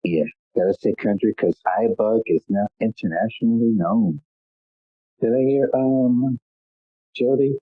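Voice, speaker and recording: A man's voice: on the low side, unhurried, no echo. A man hosting a casual call-in show, stuffed up and a little nasal, talking in an easygoing, rambling way with lots of "um"s. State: congested, stuffed up. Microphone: phone or conference call line. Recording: tinny phone line, dry.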